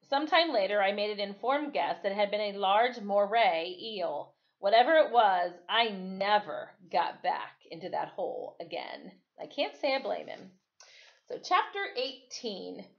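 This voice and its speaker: A woman reading a story aloud in an expressive, dramatic storytelling way, like a teacher. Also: mid-range voice. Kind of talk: read-aloud storytelling with casual asides. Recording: dull, lo-fi sound.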